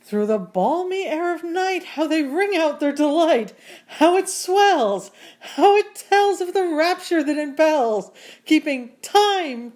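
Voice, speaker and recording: Actress as high-pitched radio thriller announcer. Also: female voice, darkish tone. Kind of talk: scripted radio presentation and verse recital.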